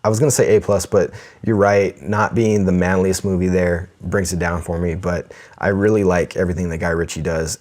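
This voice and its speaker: Very deep-voiced male podcast co-host. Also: darkish tone. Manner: enthusiastic, laughs often, reads listener letters aloud. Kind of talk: casual podcast chat.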